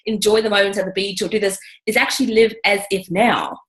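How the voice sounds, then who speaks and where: A woman hosts a casual video interview, enthusiastic and chatty, peppering her talk with "you know". Her voice sits at an ordinary middle pitch. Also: fairly even intonation, a little fast, fairly bright tone. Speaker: interview host.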